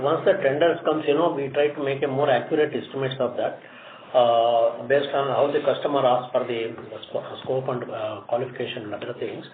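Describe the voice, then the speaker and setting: Indian man on a tinny, echoey line. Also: mid-range voice. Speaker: company executive on an earnings call. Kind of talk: answering analyst questions on a conference call. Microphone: conference phone line. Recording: tinny phone line, echoey room, background noise.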